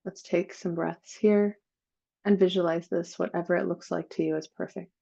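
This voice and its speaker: Female meditation guide. Lowish, dark and soft-toned, unhurried and soothing, leaves long gaps. Slightly dull recording. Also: fairly even intonation, vocal fry, breathy edge. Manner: slow, soothing, with long pauses.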